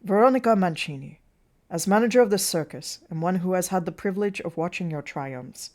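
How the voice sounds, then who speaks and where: A woman voicing a fatherly, sentimental circus manager with an earnest, halting delivery in a play reading. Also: on the low side, darkish tone, close to an everyday voice. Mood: solemn.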